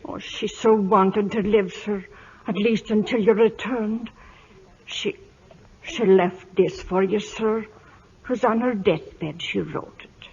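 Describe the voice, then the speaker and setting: An actress playing a mournful, deferential older housekeeper in an old-time radio drama, her voice husky and breathy. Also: mid-range voice, heavily acted. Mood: sorrowful. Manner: slow, hushed, deferential. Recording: dull, muffled vintage radio recording.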